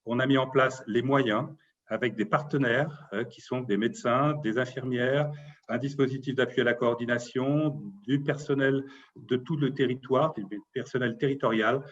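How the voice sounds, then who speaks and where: A man's voice: medium pitch, fairly even intonation, moderate pace, highs cut off. A man, a doctor and local official, explaining in interview answers and often punctuating points with a tag word.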